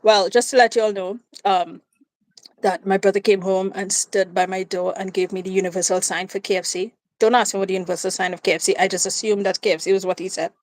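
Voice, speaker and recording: A woman's voice: medium pitch, flat delivery, fast, no echo, poor audio. Chatty Trinidadian woman, dry close sound. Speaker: co-host of a live watchalong. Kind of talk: casual live chat. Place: indoors in a room at home.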